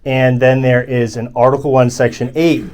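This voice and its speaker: A man with a loud, excitable voice arguing legal points with officers in a court lobby, recorded on a handheld phone. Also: mid-range voice, normal speed, smooth. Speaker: activist recording his arrest. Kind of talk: casual argument with officers. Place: federal court lobby, indoors.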